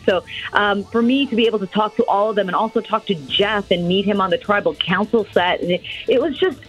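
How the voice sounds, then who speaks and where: A woman's voice: mid-range voice, muffled recording. A woman, an entertainment reporter, recounting her experiences in a casual, spontaneous and enthusiastic, talkative way.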